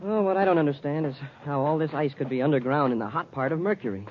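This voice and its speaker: An actor playing an eager, boyish, deferential young space cadet in a 1950s American radio serial, excitable and plain-spoken. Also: male voice, fairly high pitch, quick pace, close to an everyday voice. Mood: surprised. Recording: muffled, very noisy 1950s radio recording.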